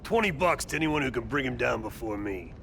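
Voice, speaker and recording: An actor playing a cocky, swaggering special forces soldier in a video game. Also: male voice, medium pitch, expressive, a little fast, vocal fry. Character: brash, foul-mouthed male special forces soldier. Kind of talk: video game cutscene acting. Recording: loud vehicle and ambient noise.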